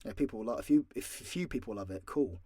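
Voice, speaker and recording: A man's voice: medium pitch, animated, a little fast, dark tone, slightly rough, no room sound. A British man giving a frank, sweary, rambling and informal Q&A chat, close on the mic. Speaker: audio role-play creator and voice actor.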